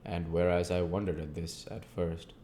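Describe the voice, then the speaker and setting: A man's voice: low-pitched, level tone, normal speed, mellow, a little hiss or hum. A man reading a classic novel aloud as an amateur audiobook narrator.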